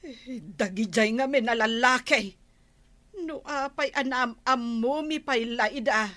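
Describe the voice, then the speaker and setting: A woman's voice: a little high, slow, sharp tone. A woman with a Filipino Ilocano accent playing a rueful village wife cheated of her savings, sighing through a radio comedy drama.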